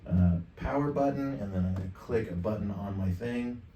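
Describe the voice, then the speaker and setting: A man in his fifties giving a casual software tutorial, thinking aloud in an offhand, mildly profane way. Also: on the low side, moderate pace. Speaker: tutorial presenter. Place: indoor home studio desk. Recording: roomy, distant mic, background noise.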